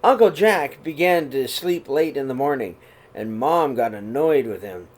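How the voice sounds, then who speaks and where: A middle-aged man reading a children's picture book aloud in his living room, then talking warmly to young listeners, with a gentle, unhurried pace and the odd throat clear. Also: mid-range voice, lively intonation. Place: living room, seated on a sofa before curtains. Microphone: webcam or laptop camera at close range.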